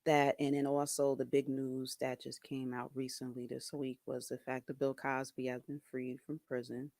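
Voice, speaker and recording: A soft-voiced man talking casually to camera, hesitant, with many ums and restarts. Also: medium pitch, little pitch movement, moderate pace, dry recording. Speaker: video creator.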